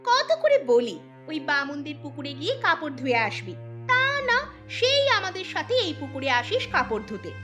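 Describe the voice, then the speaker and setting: A woman voicing village women in a cartoon folk tale, with exaggerated, expressive delivery. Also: high-pitched, normal speed, faint music behind, full character voice. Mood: scornful, scolding.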